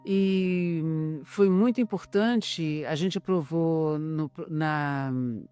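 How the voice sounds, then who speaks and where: A Brazilian man in his seventies giving an interview testimonial in a halting way, pausing to search for words. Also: a little high, warm tone, clean tone, no echo, soft background music. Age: 70s.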